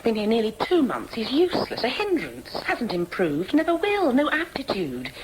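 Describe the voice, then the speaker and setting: A woman's voice: a little high, huge pitch swings, normal speed. An actress playing a blunt, disapproving woman who speaks in terse, clipped phrases in a radio drama. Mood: stern, insistent. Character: blunt, disapproving, severe female partner. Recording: noisy radio drama recording.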